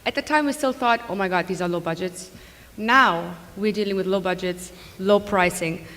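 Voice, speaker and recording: A woman's voice: medium pitch, normal speed, fairly bright tone. German-accented woman panelist, echoey hall. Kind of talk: conference panel talk. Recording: reverberant hall, faint room noise.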